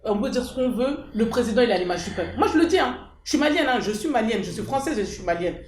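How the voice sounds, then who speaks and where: A woman's voice: a little high, lively intonation, quick pace, a little mellow, roomy sound, a little hiss or hum. A woman speaking up at an association meeting, emphatic and repeating her key points. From France. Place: meeting hall.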